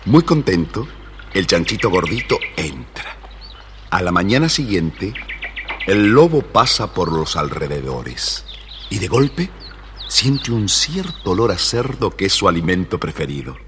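Deep, expressive male children's storyteller. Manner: expressive, deliberate storyteller delivery. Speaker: narrator. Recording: reverberant, faintly noisy old story record.

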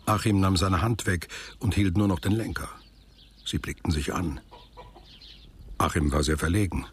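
An actor playing a reflective narrator who tells a literary radio drama in a measured, precise, deliberate way. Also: male voice, deep voice, a little hiss or hum, close to an everyday voice. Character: reflective male author-narrator recounting a boy's postwar memories.

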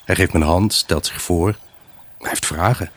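An actor playing a wistful, evasive husband left alone, in a halting, pensive radio drama monologue. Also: male voice, low voice. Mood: wistful, resolute. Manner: halting, pensive, addressing an unseen buyer. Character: wistful, evasive abandoned husband selling his house.

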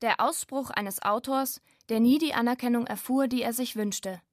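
Eine Frau als Sprecherin, die einen geschriebenen Dokumentartext vorträgt. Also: female voice, on the high side, normal speed, dry recording, clean studio sound. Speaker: narrator. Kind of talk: scripted documentary narration.

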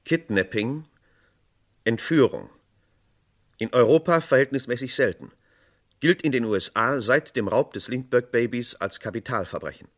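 A man's voice: medium pitch, lively intonation, normal speed, long pauses. A man narrating a radio crime play in a sober, matter-of-fact tone.

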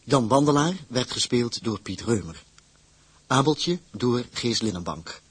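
A man's voice: mid-range voice, animated, measured pace, slightly rough, no room sound. A man reading scripted closing credits as the announcer of a radio drama series.

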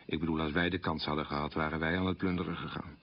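A man's voice: very deep voice, little pitch movement, fast, slightly rough, full character voice. An actor playing a troubled middle-aged man who candidly recalls his wartime boyhood in a plain, anecdotal radio play monologue. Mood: candid, self-reproaching. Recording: muffled old radio recording.

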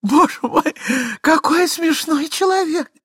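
An excitable storybook lady of the house, as a man acts her out, high and shrill. She exclaims every phrase dramatically, with a very lively swooping pitch. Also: a little fast, husky, dry recording, clean studio sound, heavily acted. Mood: hysterical amusement. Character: excitable, shrill lady wife, tearful or giggling. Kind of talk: theatrical literary reading.

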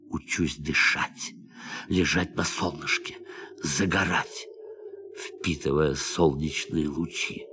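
An actor playing a calm, defiant astronaut in a radio play, speaking in a measured, quietly intense way. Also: male voice, low voice, a little hiss or hum, full character voice. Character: calm defiant astronaut who turned on his crew.

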